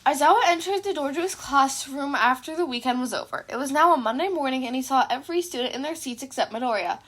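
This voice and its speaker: A woman with a high-pitched voice narrates a story. She reads from a script as an amateur audiobook narrator. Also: fast, a bit bright.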